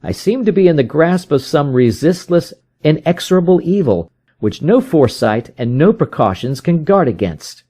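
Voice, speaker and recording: A man acts out a young gentleman in trouble in a Victorian detective story. His voice is soft-edged and a little high. He speaks politely and earnestly, sounding helpless and afraid in his formal phrasing. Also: big pitch swings, normal speed. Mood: helpless, despairing. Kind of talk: audiobook character voice in a detective story.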